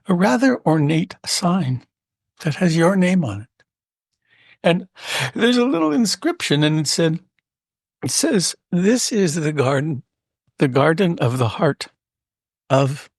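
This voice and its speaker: A man leading a guided meditation as a teacher, speaking gently and unhurriedly with frequent pauses. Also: fairly high pitch, sing-song, slightly rough, no room sound, studio quality.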